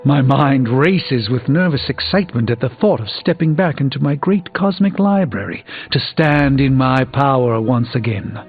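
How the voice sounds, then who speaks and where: Man playing proud male sorcerer, formal, measured, wistful, theatrical game dialogue, muffled audio, music behind. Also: mid-range voice, lively intonation, lightly acted. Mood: wistful, solemn. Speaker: video game voice actor. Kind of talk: dramatic video game dialogue.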